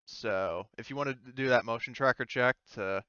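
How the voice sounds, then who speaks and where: A man running a tabletop game as game master, narrating casually and hesitantly as he thinks out loud. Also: mid-range voice, moderate pace, smooth, no echo. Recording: slightly dull, dry close recording.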